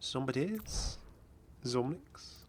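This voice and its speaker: A man's voice: mid-range voice, animated, extremely slow, a little hiss or hum. A man giving casual, chatty commentary as host of a gaming video. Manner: chatty, frequently chuckling.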